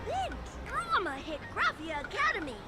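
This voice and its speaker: Excitable little creature reporter, shrill cartoonish game voice, loud music behind. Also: female voice, normal speed. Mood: breathless excitement. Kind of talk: scripted video game voice acting.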